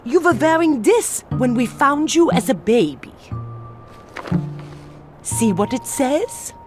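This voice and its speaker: A woman voicing a gentle, concerned motherly figure in a cartoon video game. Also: high-pitched, sing-song, takes it slowly, exaggerated voice. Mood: concerned, solemn. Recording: music behind the voice.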